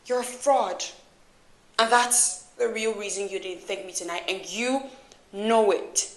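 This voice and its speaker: A woman's voice: mid-range voice, unhurried, lightly acted. A woman performing a dramatic film monologue as a wounded, angry young woman who confronts her lover with intense, profane accusations. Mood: bitter accusation. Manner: profane, accusatory, intense, swinging from hushed hurt to loud scorn. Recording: echoey room.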